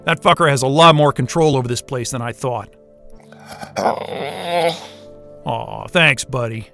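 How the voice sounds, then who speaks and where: A man's voice: mid-range voice, fairly quick, creaky, bright, forceful tone, faint music behind. An actor playing an exasperated, foul-mouthed male astrophysicist in an audio drama, irritable and sarcastic. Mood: low, confiding.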